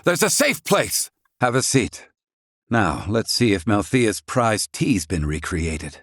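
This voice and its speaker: An actor playing a proud, gruff, excitable older scholar-inventor in a video game, speaking in formal, archaic, theatrical phrasing. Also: male voice, lowish voice, slow pace, no room sound, clean studio sound, exaggerated voice. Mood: brusque. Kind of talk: video game character acting.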